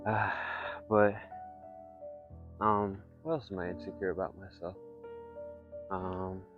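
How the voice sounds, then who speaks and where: A young man with a congested, nasal voice talking candidly in a vlog, halting and rambling with many fillers and restarts. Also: deep voice, level tone, phone-quality sound, soft background music. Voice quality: congested, nasal from blocked nose; soft, not loud. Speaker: vlogger. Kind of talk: candid personal vlog monologue.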